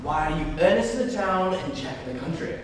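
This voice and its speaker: A teenage male student playing a flippant, teasing young Victorian gentleman in a dramatic stage reading, with an arch, theatrical manner. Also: a little high, lively intonation, brisk, close to an everyday voice. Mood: playful, prying. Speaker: student actor. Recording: distant, very echoey hall, noisy.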